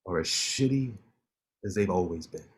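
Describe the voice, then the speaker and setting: Brief: actor as brash lawyer buddy, playful trash talk, pitch swings up and down. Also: male voice, lively intonation, moderate pace. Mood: cocky teasing. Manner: teasing trash talk, laughing. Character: playful male lawyer, cocky best friend.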